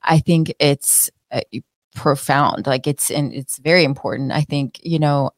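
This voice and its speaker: A woman's voice: sits low, fairly even intonation, measured pace, no room sound. A woman speaking as a wellness expert guest in a casual, reflective, earnest interview chat. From born in the United States, partly raised in Israel.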